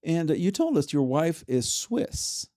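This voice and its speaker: A man's voice: a little high, sing-song, measured pace, mellow, no echo. A man hosting a podcast in a studio, asking friendly questions that are dotted with fillers. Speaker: podcast host. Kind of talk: podcast interview.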